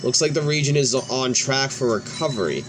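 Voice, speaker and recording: A man's voice: medium pitch, expressive, normal speed, background noise. A man hosting a let's play, reading game cutscene dialogue aloud and sometimes stumbling and restarting words as he goes. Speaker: gaming video host. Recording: background music behind the voice. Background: music.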